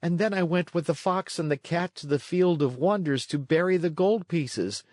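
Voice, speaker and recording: A man's voice: a little high, moderate pace, darkish tone, no echo, clean studio sound, natural voice. A man voicing an eager, earnest and plucky wooden puppet boy in a storybook read-along. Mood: breathless, rueful.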